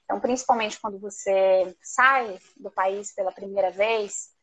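A woman with a Brazilian Portuguese accent speaking as a guest in a live online interview, telling personal stories in a talkative, expressive, conversational way. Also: mid-range voice, normal speed, breathy edge. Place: remote video call.

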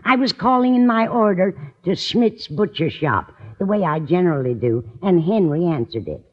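A woman's voice: on the low side, animated, moderate pace. Tinny old-radio smitten, fluttery landlady. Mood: coy, pleased. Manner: gushing, endearing address, dramatic phrasing. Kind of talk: 1950s radio comedy acting. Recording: tinny, narrow-band old radio recording.

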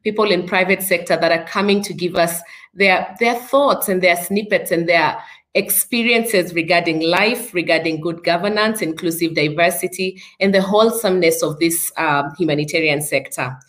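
A woman's voice: fairly low pitch, normal speed, fairly bright tone. A Kenyan woman hosting a podcast interview over a video call, with upbeat, speech-like introductions. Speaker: podcast host. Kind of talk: live online interview.

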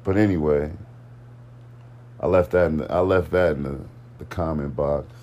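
A laid-back man co-hosting a casual podcast, his voice sitting very deep. He talks in short, unhurried bits and leaves things unexplained. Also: darkish tone. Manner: laid-back, terse, under-explaining. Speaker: podcast co-host. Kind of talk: casual chat.

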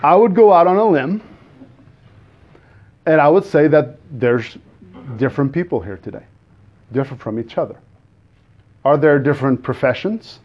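Guest preacher, man with a Portuguese accent, slightly raised pitch, dull lo-fi sound, stumbling earnestness. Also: animated. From Azores, Portugal. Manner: earnest, halting delivery with frequent restarts, asks the congregation questions. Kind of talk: sermon.

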